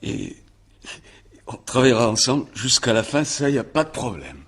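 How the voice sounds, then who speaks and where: An actor playing a stubborn, guarded country man under questioning in a French radio crime drama, blunt, defensive and halting. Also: mid-range voice, very animated, fairly bright tone, slightly rough, heavily acted. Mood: earnest. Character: stubborn, guarded young male country murder suspect.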